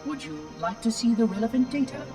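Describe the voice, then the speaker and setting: An actor playing a polite, detached ancient robot in a video game, speaking precisely and clinically. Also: male voice, extremely high pitch, lively intonation, fairly quick. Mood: blithely clinical. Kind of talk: dramatic video game cutscene acting. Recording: echoey, distant, music behind.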